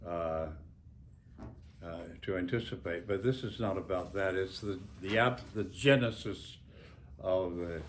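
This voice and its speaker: An American man in his nineties, an author reading his own work aloud with a deliberate, halting delivery and pauses between short phrases. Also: deep voice, slight background noise. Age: 90s. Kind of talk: reading an author's note aloud to a small gathering.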